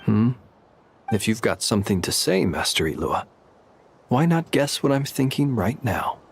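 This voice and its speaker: An actor voicing a calm, teasing male lighthouse keeper in a game, speaking with dry humor and an unhurried manner. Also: on the low side, vocal fry, a little hiss or hum, full character voice. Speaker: game character.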